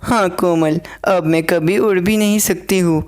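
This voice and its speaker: A woman voicing a gentle, protective and anxious mother sparrow in a cartoon story. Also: fairly low pitch, measured pace, close to an everyday voice. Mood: protective, sorrowful.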